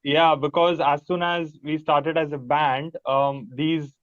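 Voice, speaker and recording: A man's voice: on the high side, flat delivery, slow pace, no room sound. A man with an Indian accent, a musician being interviewed, chatting casually in a rambling, enthusiastic, informal way. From Mumbai, India. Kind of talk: casual chat. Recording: thin phone-like sound, fairly dry.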